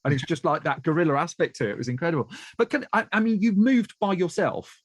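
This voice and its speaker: A man's voice: a little high, very animated, quick pace, husky, no room sound. A man hosting a podcast interview, eager and given to stammering restarts as he asks questions. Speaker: podcast host. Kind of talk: casual interview chat.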